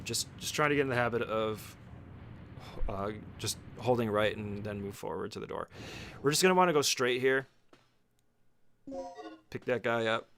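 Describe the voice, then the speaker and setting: A man's voice: lowish voice, fairly bright tone. A man giving an informal, step-by-step speedrun tutorial as casual gameplay commentary, swearing freely.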